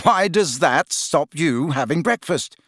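A man's voice: on the high side, big pitch swings, unhurried, fairly bright tone, no echo, studio quality, voice pushed up. A man voicing a sensible, exasperated teenage boy in an audiobook, matter-of-fact and impatient. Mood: bewildered. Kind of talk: audiobook character voice.

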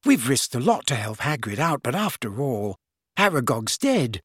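A man's voice: mid-range voice, sing-song, moderate pace, husky, no room sound, clean studio sound. A man voicing a blunt, grumbling teenage boy with an indignant, incredulous manner. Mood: firm, sardonic.

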